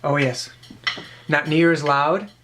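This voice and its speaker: Presenter, mid pitch, explaining stuff hands-on, thinking out loud, some background noise and clicks. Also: steady tone, takes it slowly. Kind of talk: casual hands-on demonstration commentary.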